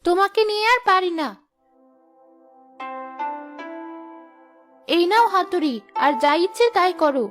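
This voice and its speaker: A woman's voice: very high-pitched, lively intonation, a little fast, music in the background. A woman voicing an exasperated, scolding village wife in a cartoon, with a nagging, complaining manner. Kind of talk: cartoon voice acting.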